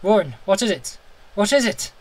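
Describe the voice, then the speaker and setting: A man's voice: high-pitched, big pitch swings, normal speed, pitched above the performer's own voice. A man voicing a frightened, devoted man who calls out anxiously to his friend in a horror story. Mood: anxious questioning. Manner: anxious, shouting. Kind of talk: dramatic horror story reading.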